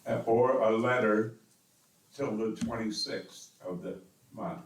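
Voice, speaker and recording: A man's voice: on the low side, takes it slowly, slight background noise. A man serving as mayor with a strong, carrying voice, speaking insistently and repeating words as he defends himself. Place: city council chamber. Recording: echoey council chamber, distant mic.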